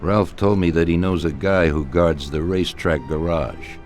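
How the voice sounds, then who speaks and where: An older mob boss, as a man acts him out, speaking slowly and deliberately with a commanding, shrewd edge. His voice is extremely deep and low. Music plays clearly behind him throughout this game cutscene. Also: fairly even intonation, a little mellow, natural voice. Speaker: crime boss. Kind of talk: video game cutscene acting.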